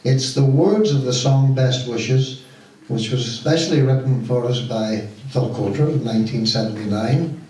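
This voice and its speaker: A middle-aged or older man with a Donegal Irish accent gives an informal, deliberate thank-you speech to an audience as a pantomime director. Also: mid-range voice, darkish tone. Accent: Irish, County Donegal. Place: stage of a community hall. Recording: very echoey hall, distant mic, faint noise.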